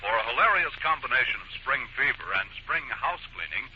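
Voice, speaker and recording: An American male announcer with a medium-pitched voice delivers scripted 1940s radio copy and banter. The vintage recording is dull, with faint noise behind him. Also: big pitch swings, fairly quick.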